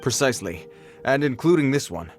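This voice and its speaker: A man's voice: mid-range voice, lively intonation, fairly quick, natural voice. A man voicing a well-meaning, formal guard on patrol in a video game, earnest and easily flustered. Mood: stern concern. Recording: faint music behind.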